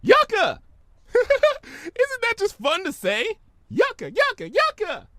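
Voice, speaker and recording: Bubbly young female pony played by a man, very high-pitched, wildly animated, excitable cartoon delivery. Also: slow, dry recording, clean studio sound, heavily acted. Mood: gleeful. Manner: excitable, exclaiming, playful.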